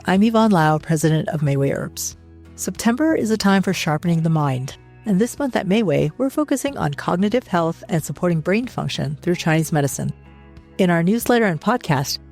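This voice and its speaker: A woman reads a scripted sponsor spot, polished and upbeat, with a lowish voice. Soft music plays faintly underneath. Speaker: company president. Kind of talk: scripted ad read.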